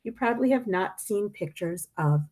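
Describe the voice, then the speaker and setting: A woman reading a picture book aloud expressively, with deliberate dramatic emphasis and pointed pauses. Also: mid-range voice, animated, darkish tone, low-quality recording.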